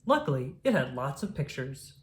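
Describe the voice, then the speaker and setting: Expressive woman reading a children's storybook. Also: very deep voice, normal speed. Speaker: storybook reader. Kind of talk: children's read-aloud narration.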